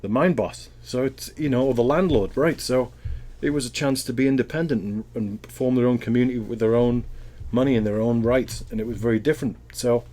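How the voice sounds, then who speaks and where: A man's voice: medium pitch, brisk, hardly any pauses, warm tone. A Welsh man answering interview questions in a casual, thoughtful way, often rambling and restarting his sentences. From Wales.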